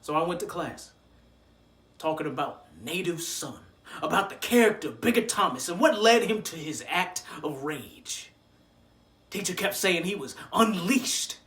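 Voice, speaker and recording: A man's voice: a little high, animated, a little slow, reverberant. A man performing a dramatic stage monologue as an angry, hurt student addressing his absent father, with emotional delivery. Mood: brooding, hurt. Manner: emotional, building from quiet hurt to shouted rage.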